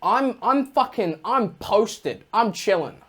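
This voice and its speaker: A man's voice: high voice, expressive, slow, fairly bright tone, breathy edge. A young man from New Zealand with a New Zealand accent, telling stories on a casual podcast in a rambling way full of "like". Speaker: podcast co-host. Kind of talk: casual storytelling chat.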